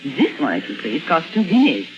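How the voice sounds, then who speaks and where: Vintage radio drama, music behind: bustling middle-aged woman, chatty, animated. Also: low voice, normal speed. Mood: chirpy, coaxing. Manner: chatty, bright-mannered, coaxing. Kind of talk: 1950s radio drama acting. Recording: dull vintage 1950s radio recording.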